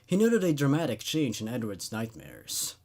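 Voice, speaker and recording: A man's voice: medium pitch, wildly swooping pitch, normal speed, a little mellow. A man reading a horror story aloud as host, in a dramatic narrating style.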